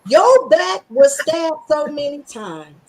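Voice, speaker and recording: A middle-aged woman hosts a podcast in a scratchy, slightly high voice. She talks in a sassy, emphatic way and preaches with plenty of slang. Her delivery stays punchy and direct. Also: big pitch swings, slow pace. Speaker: podcast host. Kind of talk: casual motivational chat.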